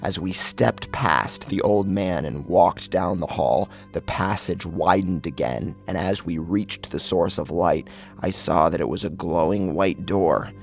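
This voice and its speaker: A man's voice: sits low, measured pace, vocal fry. A man narrating a first-person horror story in a grave, intense, dramatic manner. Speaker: audiobook narrator. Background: music.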